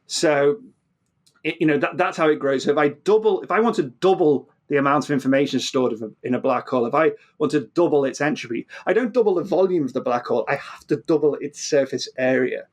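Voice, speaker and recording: A man's voice: on the high side, quick pace. A man explaining physics conversationally as an interview guest, often repeating words and restarting mid-phrase.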